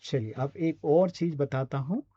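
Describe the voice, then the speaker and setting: A man's voice: a little high, measured pace, dark tone, breathy edge, dull audio. A man with an Indian accent coaching in a conversational, explanatory way, often checking in with short questions. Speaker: voice and radio scriptwriting coach. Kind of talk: conversational online teaching.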